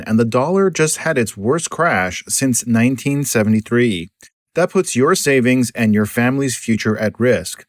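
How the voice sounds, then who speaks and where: A man's voice: dry recording, studio quality. Man reading an urgent ad. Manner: smooth, urgent sales pitch. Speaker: ad announcer. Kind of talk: scripted ad read.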